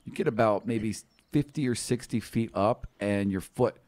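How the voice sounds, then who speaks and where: A man's voice: fairly low pitch, normal speed, darkish tone, no echo. A man working as a game master, improvising the narration of a tabletop roleplaying game in a casual, agreeable, conversational way.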